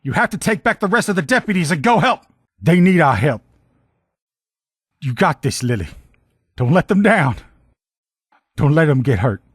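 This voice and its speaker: A man voicing a gruff, loyal military lieutenant in a video game, with blunt, profane, folksy soldier talk. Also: on the high side, big pitch swings, moderate pace, long pauses, no echo, studio quality. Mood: earnest, urgent. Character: gruff, loyal military lieutenant in power armor, protective comrade. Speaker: voice actor in a game mod. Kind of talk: video game character dialogue lines.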